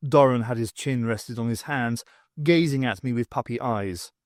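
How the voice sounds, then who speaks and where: A man reading a first-person story aloud as an expressive audiobook narrator, with wry, dramatic, emphatic phrasing. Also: mid-range voice, normal speed, dry recording, clean studio sound. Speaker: audiobook reader.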